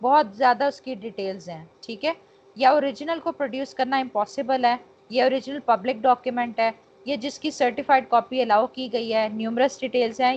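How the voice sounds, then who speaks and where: Pakistani lady law teacher on online class, highish voice, english terms mixed in, bit of background noise. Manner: checks understanding, frequent tag phrases. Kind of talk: online lecture on law, explanatory. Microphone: online call. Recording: dull, noisy online call audio.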